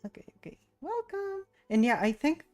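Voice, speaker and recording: A woman's voice: high-pitched, very animated, normal speed, a little mellow. A woman livestreaming a game with casual, rambling, giggly chat. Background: game music.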